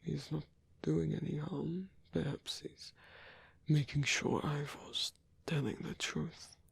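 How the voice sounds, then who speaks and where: A performer playing a lonely old male vampire in an ASMR roleplay, tender and wistful, speaking in a breathy, husky whisper with halting delivery. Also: mid-range voice, flat delivery, no echo, heavily acted. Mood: pensive.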